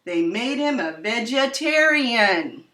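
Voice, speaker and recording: Woman storyteller, slow dramatic delivery, very lively pitch, echoey room, distant mic. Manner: dramatic, drawn-out emphasis. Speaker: storyteller reading a children's picture book aloud. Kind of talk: expressive read-aloud storytelling. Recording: roomy echo, distant microphone.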